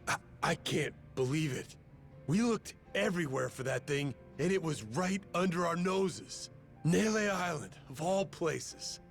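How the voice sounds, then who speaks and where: A man's voice: a little high, expressive, measured pace, creaky, soft background music. An actor playing an easygoing, plain-spoken middle-aged father in a video game cutscene, talking casually. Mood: surprised, curious.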